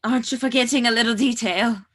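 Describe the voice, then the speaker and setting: A woman acts out a gentle young household employee in an old radio romance drama. Her voice is slightly high with a husky, breathy edge. She is coy and polite. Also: fairly even intonation, fast. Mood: coy, flustered. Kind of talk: 1940s radio drama acting.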